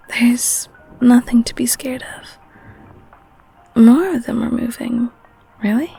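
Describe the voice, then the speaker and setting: A woman plays a devoted, clinging girlfriend in an ASMR audio roleplay. Her voice is very breathy and whispery, slightly high, with a creaky edge. She coos tenderly and protectively, as if to someone held close, over soft music. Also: heavily acted, poor audio. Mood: soothing, protective. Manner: tender cooing, gentle and unhurried. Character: loving clingy girlfriend, secretly eldritch being, soothing and protective. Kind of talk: ASMR audio roleplay, intimate one-sided scene.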